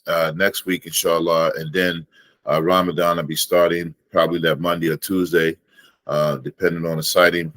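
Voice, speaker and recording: A man speaking as a religious class teacher, lecturing informally with halting, often repeated words. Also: low-pitched, a bit bright, no room sound.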